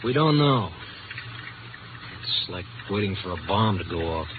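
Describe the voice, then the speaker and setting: Curt Chicago cop, lowish voice, wary, barking, 1950s radio drama, tinny narrow sound. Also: male voice, expressive, unhurried. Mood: tense, warning. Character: gruff, suspicious, wary Chicago patrol cop. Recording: noisy, narrow-band old radio recording.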